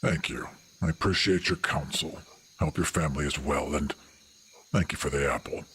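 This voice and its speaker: A man voicing a massive, grave female centaur hunter in a tabletop game, with a husky low voice and a formal, measured manner. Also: steady tone, fairly bright tone, exaggerated voice. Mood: courteous.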